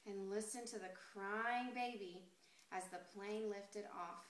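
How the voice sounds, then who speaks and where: A woman reading a picture book aloud expressively and deliberately, putting questions to young listeners. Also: medium pitch. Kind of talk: expressive children's story read-aloud. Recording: roomy, echoey sound.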